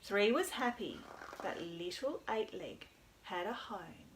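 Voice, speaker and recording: A woman's voice: mid-range voice, lively intonation, takes it slowly, a little hiss or hum, low-quality recording. A woman hosts a storytime for young children, speaking in an encouraging, sing-song way with simple phrases. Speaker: children's storytime host at a library.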